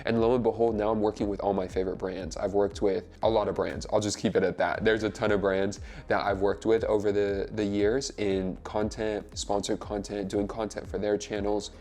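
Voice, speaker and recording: A man's voice: on the low side, little pitch movement, moderate pace, hardly any pauses, darkish tone. A man vlogging to camera at home, talking in an upbeat, emphatic way and sounding slightly congested from a cold. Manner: upbeat, chatty, emphatic with frequent "literally". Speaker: YouTube creator and web developer. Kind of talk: casual vlog talk to camera. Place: two-bedroom home in California, filming on the floor.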